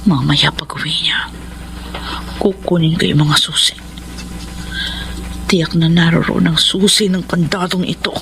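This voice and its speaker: A woman's voice: low-pitched, steady tone, a little slow, gravelly, pauses often, low-quality recording. An actress playing a guilt-ridden, troubled mother in a Filipino radio drama, with an emotional, confessional delivery. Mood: secretive, tense.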